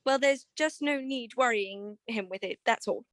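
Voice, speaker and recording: A woman's voice: fairly high pitch, moderate pace, a bit bright, no echo, studio quality, lightly acted. A woman playing an evasive, anxious, well-to-do married client in a radio detective drama, speaking in a genteel, hesitant way. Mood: flustered, evasive.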